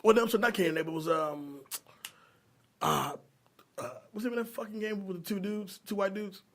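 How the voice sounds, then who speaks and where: A man's voice: high-pitched, fairly quick. A man chatting casually as a podcast co-host, opinionated and free with swearing. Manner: opinionated, profane. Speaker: podcast co-host discussing movies. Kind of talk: casual chat among friends.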